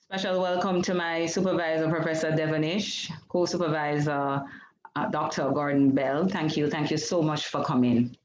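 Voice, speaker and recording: A Jamaican woman whose first language is Jamaican Creole, presenting her research over a video call in a measured, explanatory way, with frequent fillers and self-corrections. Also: low-pitched, steady tone, reverberant, muffled recording, a little hiss or hum. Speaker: PhD candidate presenting research. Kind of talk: academic seminar presentation.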